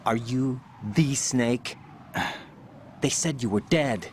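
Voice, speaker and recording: A man's voice: mid-range voice, very slow, slight background noise. A man playing a doubting young special agent in a video game, with sincere, questioning urgency. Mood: hesitant, curious. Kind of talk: dramatic video game cutscene acting.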